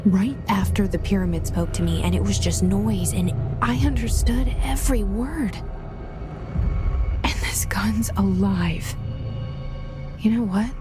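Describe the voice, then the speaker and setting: A woman's voice: medium pitch, unhurried, long pauses, music in the background, natural voice. A woman playing a wary, dryly candid young heroine, confiding her inner thoughts in a video game. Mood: hushed wonder. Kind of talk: inner-monologue voice-over acting.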